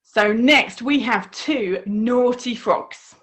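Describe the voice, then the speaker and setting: A woman reading her children's storybook aloud in an expressive, encouraging way. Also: a little high, takes it slowly, rough recording. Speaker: author reading her own book.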